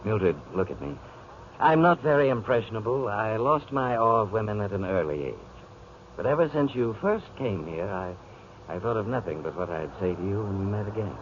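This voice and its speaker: An American actor playing a suave, idle playboy with a flirtatious manner in 1940s radio style. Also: male voice, lowish voice, animated, brisk, lightly acted. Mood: romantic. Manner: smooth-talking, flirtatious. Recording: dull, hissy 1940s radio recording.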